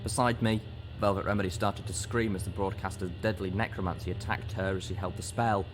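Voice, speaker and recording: A man reading a fantasy story aloud as dramatic audiobook narration, in a deliberate, expressive storytelling manner. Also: low-pitched, over music. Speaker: story reader.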